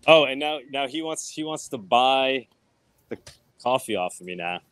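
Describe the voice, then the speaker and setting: A man's voice: medium pitch, normal speed, clean tone, dry recording. A middle-aged man filming himself in a grocery store, chatting eagerly to viewers and interviewing the owner through an earphone mic. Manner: excited, laughing, talking to camera. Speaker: show correspondent. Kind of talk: casual vlog interview. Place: grocery store checkout, indoors. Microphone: wired earphone mic.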